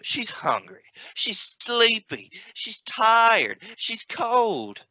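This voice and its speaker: A man's voice: very high voice, very animated, extremely slow, bright, forceful tone, no echo, full character voice. A man playing an exasperated, sarcastic father of three in an old-time radio comedy. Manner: sarcastic, scolding. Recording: dull, noisy home-made remote recording.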